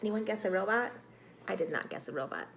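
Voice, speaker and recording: A woman with a medium-pitched voice reads a story aloud to children. She is expressive and theatrical, stopping to put questions to her young listeners. There is some noise behind her. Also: fast talker, creaky. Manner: expressive, dramatic, with exclamations and prompting questions. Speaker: teacher reading aloud to children.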